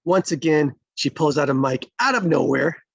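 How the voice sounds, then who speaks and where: Gravelly, higher-pitched man narrating a script. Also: lively intonation, moderate pace, dry recording, highs cut off. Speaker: stage directions reader. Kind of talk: screenplay narration at a table read.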